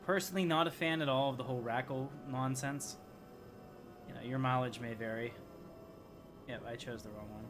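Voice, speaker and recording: Man, livestream gamer host, casual commentary, rambling thinking aloud, average pitch, clear music behind. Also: fairly even intonation, normal speed, pauses often. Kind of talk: casual live gameplay commentary, chatting to viewers. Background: game music.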